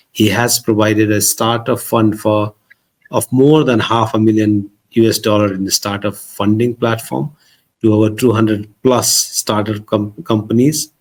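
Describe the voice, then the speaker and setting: A man's voice: lowish voice, a little mellow. A man hosting a podcast, formally introducing and questioning his guest. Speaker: podcast host. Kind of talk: podcast interview.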